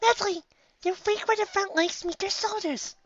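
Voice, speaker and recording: A timid little pegasus mare, her voice pitched high and breathy, hushed almost to nothing. She sounds shy and gentle. It is recorded close and dry, with a dull, lo-fi edge. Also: normal speed, no room sound. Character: timid soft-spoken female pegasus pony.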